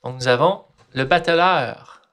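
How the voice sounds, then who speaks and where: A man's voice: fairly high pitch, slow, a bit bright, clear, resonant tone. A man hosting a podcast interview, prompting his guest with short questions and echoing back what he says. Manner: brief encouraging prompts and echoes the guest. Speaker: podcast host. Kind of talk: interviewer, conversational.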